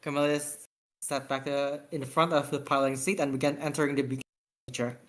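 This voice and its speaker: Halting amateur reader, medium pitch. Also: flat delivery. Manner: halting, stumbling over words and repeating them. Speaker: author reading own story aloud. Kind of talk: amateur read-aloud of fan fiction, casual chat.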